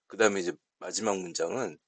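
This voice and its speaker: Man, husky voice, animated intonation, polite step-by-step instructor, unscripted tutorial, dry close sound. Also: deep voice, quick pace, no echo. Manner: explanatory, step-by-step, polite speech. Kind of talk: unscripted software tutorial narration.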